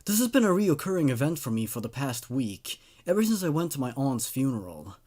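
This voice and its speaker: A man's voice: medium pitch, very animated, brisk, a little mellow. A man narrating a first-person horror story as a sleepless, paranoid person confessing a creeping dread. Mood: weary, irritated.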